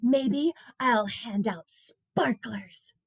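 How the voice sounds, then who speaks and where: A woman voicing a dramatic, stubborn little schoolgirl with grand ideas, emphatic and excitable, in a picture book. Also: fairly high pitch, very slow, breathy edge, dry recording, clean studio sound, voice pushed up, exaggerated voice. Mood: scheming.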